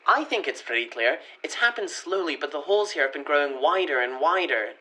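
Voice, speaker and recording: A woman's voice: sits low, animated, brisk, bright, forceful tone, low-quality recording. A woman playing a weary, withdrawn boyfriend in a radio play, hesitant and stammering in naturalistic dialogue. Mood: hesitant, uneasy. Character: William, weary, withdrawn boyfriend fed up with his relationship.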